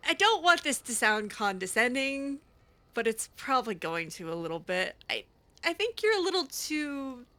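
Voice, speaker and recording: A woman with a bright, forward voice roleplays through a game at an unhurried pace. She is chatty and a little hesitant, with a wry streak, talking as if to the characters in front of her. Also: mid-range voice. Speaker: gaming channel host roleplaying a mage character. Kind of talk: improvised in-character roleplay commentary, conversational.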